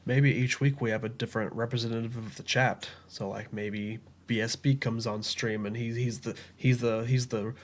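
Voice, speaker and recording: A man's voice: medium pitch, steady tone, normal speed, hardly any pauses. A man co-hosting a livestream in an easygoing way, often repeating words as he gets going. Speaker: co-host of a crypto livestream. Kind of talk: casual livestream chat.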